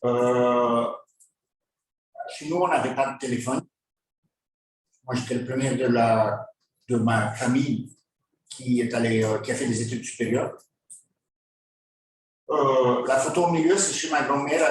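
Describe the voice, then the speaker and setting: A man, giving a lecture as a guest speaker, halting, with frequent filler pauses and wry asides. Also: mid-range voice, steady tone, a little hiss or hum. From Belgium. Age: 50s. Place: lecture hall. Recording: very echoey hall from far off.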